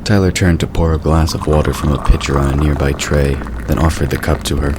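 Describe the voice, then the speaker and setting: A man's voice: a bass voice, fairly even intonation, a little fast. A man reading scripted story narration for an audio drama. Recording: clearly noisy background.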